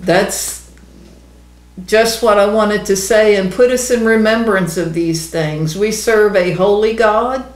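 Earnest woman teaching, roomy sound. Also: medium pitch. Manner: earnest, emphatic. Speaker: ministry co-host, Bible teacher. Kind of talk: conversational Bible teaching. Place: small indoor room. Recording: roomy, echoey indoor sound.

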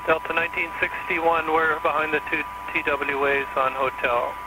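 Man, airline pilot, monotone, slightly high, heavy radio static. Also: moderate pace. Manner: clipped and matter-of-fact. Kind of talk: radio readback. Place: aircraft cockpit. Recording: very noisy cockpit radio.

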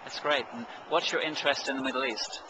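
A man asking friendly, brisk questions in an outdoor interview. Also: medium pitch, fairly even intonation. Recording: noisy outdoor recording.

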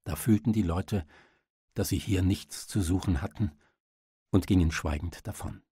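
A man narrates an audiobook with dramatic, literary delivery. His voice is low, mellow and husky, recorded close and dry. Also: moderate pace, no echo.

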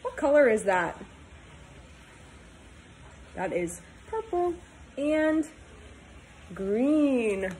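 A woman in her twenties reading a storybook aloud to young children, talking in simple, sing-song, animated phrases. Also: high voice, drawn-out words, long pauses, a little hiss or hum, poor audio. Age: 20s. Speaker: teacher reading aloud to pupils.

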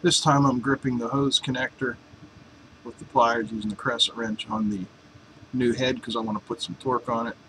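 A man's voice: medium pitch, little pitch movement, normal speed, a bit bright, a little hiss or hum, rough recording. A man in a home workshop explaining a welding torch swap in a casual, joking, folksy way. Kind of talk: casual workshop how-to narration.

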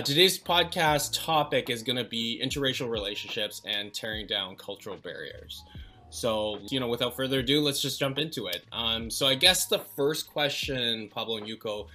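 A young man hosting a casual relationship podcast, upbeat and quick with affirmations, speaking into a headset mic on a video call. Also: medium pitch, pushed and bright. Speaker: podcast host. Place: indoors, home room.

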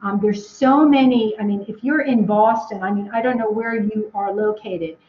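A woman's voice: medium pitch, fairly even intonation, normal speed. An American woman answering questions as a webinar guest from home, rambling with many ums and restarts. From United States. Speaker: guest speaker. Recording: echoey, dull video call audio.

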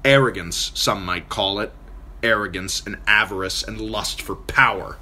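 A man voicing a proud, sharp-tongued noblewoman in an audiobook, cool and clipped. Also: lowish voice, moderate pace, slight background noise.

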